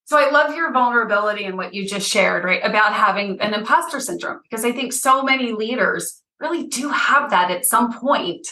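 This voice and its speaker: A woman's voice: on the high side, expressive, fairly quick, hardly any pauses, sharp tone, echoey room, low-quality recording. A woman hosting an interview, speaking in an encouraging and appreciative way. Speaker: podcast host, interviewer. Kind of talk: interview conversation.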